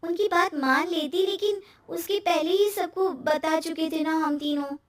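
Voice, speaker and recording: Brief: a woman playing a chatty young cartoon wife, cheerful but easily rattled, very high voice, slightly distant. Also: unhurried. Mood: worried. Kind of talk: cartoon moral story acting.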